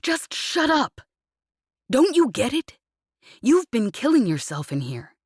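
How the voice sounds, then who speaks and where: A woman's voice: low voice, takes it slowly, breathy edge, no room sound, studio quality, exaggerated voice. A woman voicing a weary, guilt-ridden young man. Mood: furious. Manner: flat and dull, bursting into anger. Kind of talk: audiobook character reading.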